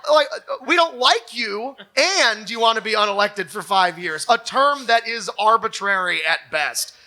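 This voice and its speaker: A man co-hosting a live comedy show, talking off the cuff in a halting, exclamatory way and often restarting himself. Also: high voice, animated, pushed and bright. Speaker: co-host of a local comedy show. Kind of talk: comedic unscripted talk before a live audience.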